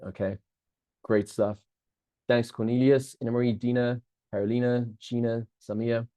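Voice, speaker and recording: South African male webinar host, middle-aged, mellow tone, dry close sound, chatty with frequent restarts. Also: mid-range voice, little pitch movement, moderate pace, no room sound. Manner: upbeat, chatty, many restarts, keeps checking in with the audience. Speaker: business coach hosting a live webinar. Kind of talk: live online masterclass presentation.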